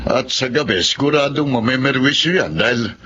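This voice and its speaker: A man's voice: mid-range voice, animated, normal speed, lightly acted. A man playing a reluctant, grumbling Filipino grandfather in a radio drama. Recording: muffled lo-fi audio with noise.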